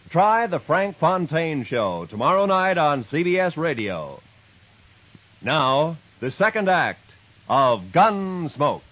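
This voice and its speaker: A male radio announcer with a lively, well-varied pitch gives a polished, promotional read. The voice sits slightly high and comes through a dull, muffled old recording. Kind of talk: 1950s network radio announcing.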